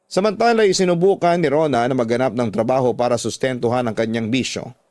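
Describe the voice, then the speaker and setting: A man's voice: medium pitch, fast talker, a little mellow, dry recording. A Filipino man delivering dramatic scripted first-person narration as a radio drama storyteller. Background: background music.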